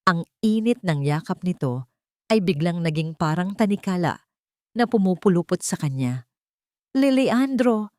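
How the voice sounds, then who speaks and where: Female storyteller for dramatic romance fiction: slightly low voice, scripted narration, very dry close sound. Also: expressive, normal speed, dark tone, no room sound.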